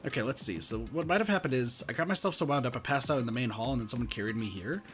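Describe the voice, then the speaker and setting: Guy doing a confused earnest schoolboy from an anime game, thinking out loud, pitch moves around, faint music. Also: expressive, very fast, natural voice. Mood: bewildered, uneasy. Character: bewildered, earnest teenage schoolboy visual novel hero. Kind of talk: reading game dialogue in character.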